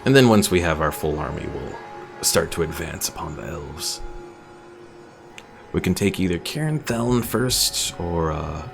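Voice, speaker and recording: A man with a low, fry-laden voice streams a game. He comments casually and thinks aloud about each move, with faint music underneath. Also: expressive, measured pace. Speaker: gaming streamer.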